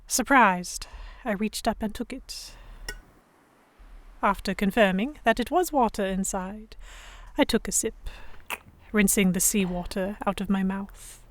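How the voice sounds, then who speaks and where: A woman playing a young Victorian noblewoman captive, narrating her story in a measured, literary first person. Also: medium pitch, slight background noise, close to an everyday voice. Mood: weary, reflective. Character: young English noblewoman captive, reflective, stubborn, Victorian era. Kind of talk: first-person scripted narration in an audio drama.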